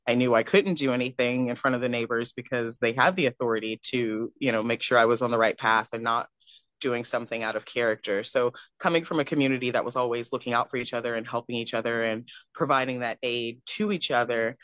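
A young adult woman from Texas, a guest recounting her upbringing in a relaxed interview, reflective and given to small laughs. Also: very deep voice, brisk, dry recording. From Texas, USA. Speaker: guest, pro wrestler. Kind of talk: casual interview chat. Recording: dull lo-fi dry sound.

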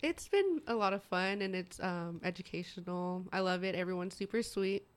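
Giggly young Texan woman, low voice. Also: moderate pace, clean tone. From New Zealand. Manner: joking, giggly, self-mocking. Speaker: guest, salon stylist. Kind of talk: casual interview chat.

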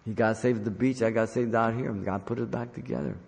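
A man's voice: medium pitch, fairly even intonation, fast talker, creaky, a little mellow. An older man preaching a sermon as a pastor, earnest and exhorting, repeating phrases for emphasis. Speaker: pastor preaching to his congregation. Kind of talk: sermon with scripture reading. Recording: long hall reverb.

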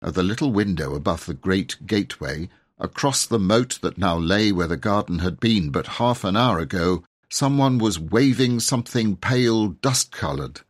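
Husky guy reading a kids audiobook, very animated, leans on words for drama. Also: deep voice, normal speed, hardly any pauses. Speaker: audiobook narrator. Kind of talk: expressive storybook narration.

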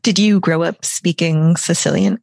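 A woman's voice: on the low side, fairly even intonation, normal speed, creaky, a little mellow, no room sound. A woman hosting a travel podcast, reading a polished, upbeat intro and then interviewing her guest. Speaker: podcast host.

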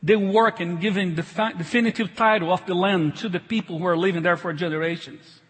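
High-voiced man preaching with a foreign accent. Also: steady tone, fairly bright tone, slightly rough. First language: not English. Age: middle-aged. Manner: emphatic, halting, repeats phrases. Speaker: pastor preaching. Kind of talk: sermon. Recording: reverberant large hall.

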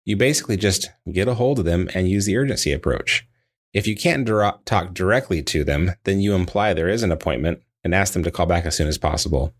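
Low-pitched man reading instructional narration. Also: expressive, fairly quick. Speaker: dental practice trainer.

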